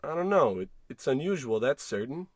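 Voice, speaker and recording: A man's mid-pitched, very animated voice acting out an excitable husband in old radio comedy. He sounds folksy, fretful and theatrical, recorded dry. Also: brisk, no echo. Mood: bewildered.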